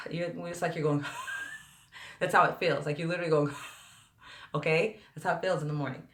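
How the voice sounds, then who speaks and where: A woman vocal coach with a slightly hoarse, tired voice, chatting directly to viewers during a live-stream lesson and answering their questions. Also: deep voice, steady tone, normal speed. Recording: roomy echo.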